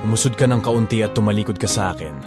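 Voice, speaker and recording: A man's voice: fast, lightly acted. A man playing a cocky young male bodyguard who teases and commands, speaking in a smug, controlled way over background music. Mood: gentle. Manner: smug, teasing, low and controlled. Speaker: male lead of an audio drama.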